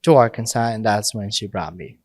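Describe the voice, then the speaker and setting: A young adult Mexican-born priest talks easily in a dry studio, in a lowish voice. His English has a first-language flow, broken into short phrases, with frequent laughs. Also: fairly quick, dry recording. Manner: relaxed, laughs often, speaks in short phrases. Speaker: priest, podcast guest. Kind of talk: casual interview talk.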